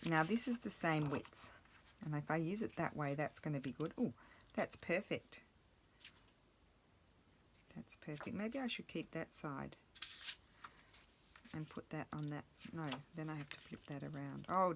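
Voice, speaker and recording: A low-voiced woman talks her way through a craft project, rambling and cutting herself off as she thinks aloud. Her voice is slightly croaky from the morning. A faint hiss sits under the recording. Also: a bit bright. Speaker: craft vlogger. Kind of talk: casual crafting chat.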